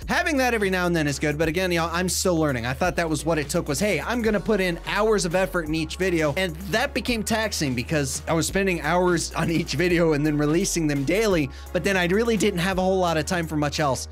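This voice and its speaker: A man in his thirties, a gaming vlogger reading viewer comments in casual chat, slightly stuffy from a cold, animated and gesturing in a small foam-lined room. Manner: animated, gesturing a lot, swings between excited and low asides. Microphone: desk mic, webcam framing at head and shoulders.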